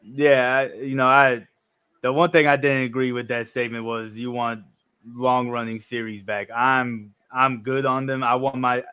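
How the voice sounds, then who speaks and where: A man in his early thirties with a smooth, resonant voice hosts a laid-back conversation. He sounds easygoing and agreeable, restarting his sentences as he works through his thoughts, at an everyday middle pitch. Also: moderate pace. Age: 30s. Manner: relaxed, agreeable, thinks aloud with restarts. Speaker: podcast host. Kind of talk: casual chat.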